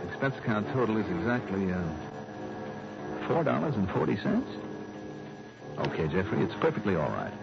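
A man's voice: fairly low pitch, gravelly. An American actor playing a wry, slangy, hard-boiled insurance investigator narrating his case in a 1960s radio detective drama. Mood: wry, satisfied. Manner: sardonic, slangy, punchy. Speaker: lead actor. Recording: dull vintage radio recording, music behind.

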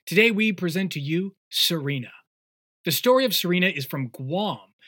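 A man's voice: fairly high pitch, sharp tone. A man reading a scripted introduction as a podcast host.

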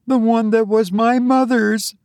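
A man's voice: very high voice, steady tone, unhurried, a little mellow, clean tone, dry recording, clean studio sound, voice pushed up. A man voicing a young woman in an audiobook, girlish and emotional, tender and easily startled. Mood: distressed. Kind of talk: audiobook character voice.

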